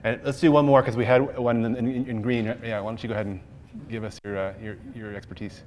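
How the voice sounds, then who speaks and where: A man's voice: mid-range voice, level tone, brisk, darkish tone, slight background noise. A man in his thirties giving a conference talk from a podium, chatty and loose, with plenty of ums and restarts. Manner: conversational, with frequent ums and restarts. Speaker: conference presenter. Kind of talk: live workshop talk with audience input. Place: large conference hall, at a podium. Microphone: podium mic, later handheld.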